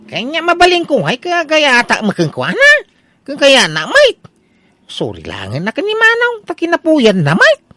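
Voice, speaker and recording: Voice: sits high, wildly swooping pitch, normal speed, pushed and bright, no room sound, heavily acted. Someone acting a wildly swooping, whiny hapless son. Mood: pleading, defensive. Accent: Ilocano. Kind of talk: Ilocano comedy radio drama acting.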